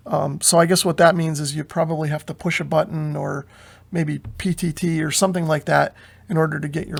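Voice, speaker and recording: An American man with a somewhat high voice explains things informally to viewers. His delivery is measured and conversational, with plenty of vocal fry running through it. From United States. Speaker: video host, amateur radio hobbyist. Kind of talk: informal explainer commentary on radio news.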